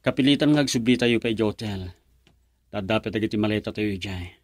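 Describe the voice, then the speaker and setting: A man's voice: fairly low pitch, fast talker, natural voice. An actor playing a reluctant, lovelorn young man in a radio drama, with an Ilocano accent. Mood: reluctant, terse. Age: young adult. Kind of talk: Filipino radio drama acting.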